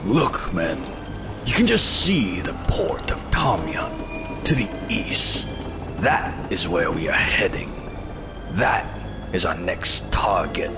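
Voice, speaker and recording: An actor playing a bloodthirsty raider captain in an audio drama, commanding and menacing. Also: male voice, low-pitched, big pitch swings, takes it slowly, creaky, over music, heavily acted. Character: bloodthirsty raider captain rallying his oarsmen. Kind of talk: full-cast audio drama acting.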